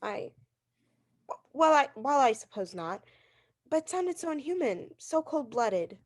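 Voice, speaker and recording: A high-pitched, fretful woman, played by an actress, as a bedridden wife in a 1940s-style radio thriller. She is neurotic and imperious, pressing her points insistently and stammering when challenged. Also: very animated, measured pace, full character voice. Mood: rattled, fearful. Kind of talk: 1940s radio drama acting.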